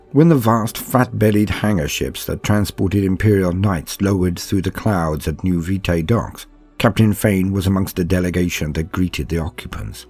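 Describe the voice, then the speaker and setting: Deep husky man, calm steady narration. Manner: calm, steady, measured delivery. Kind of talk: calm scripted audiobook-style narration of war lore.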